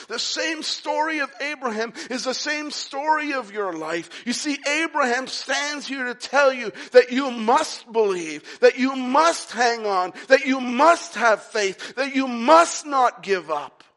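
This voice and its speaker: A man delivers a sermon in a high voice, taking his time with each phrase. He drives his points home through repetition and questions put straight to the congregation. His exhortations swing between loud insistence and quieter moments. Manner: emphatic, repetitive rhetorical questions. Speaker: preacher.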